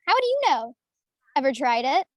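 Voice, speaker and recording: A woman's voice: sits high, sing-song, moderate pace. A woman playing a blunt, skeptical, bickering young girl at a table read of a film script.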